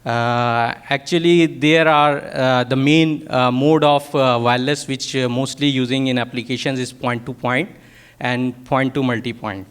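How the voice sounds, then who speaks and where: A man's voice: mid-range voice, fairly even intonation, a little slow, clean tone. A man from Pakistan, a native Urdu speaker with a Pakistani accent, gives a technical workshop presentation as a product manager, often hesitating and searching for words.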